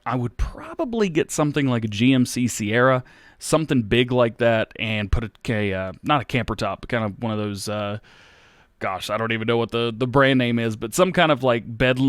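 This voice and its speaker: An American man in his thirties, hosting a casual Q&A on his gaming channel, reading out viewers' questions and answering in a relaxed, rambling way. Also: mid-range voice, clean tone, no room sound, studio quality. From United States. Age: 30s. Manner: relaxed and rambling, with many fillers and restarts. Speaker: YouTube gaming host.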